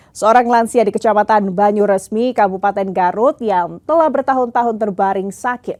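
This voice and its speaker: A woman's voice: fairly high pitch, no echo. A woman with an Indonesian accent presenting scripted news as an anchor.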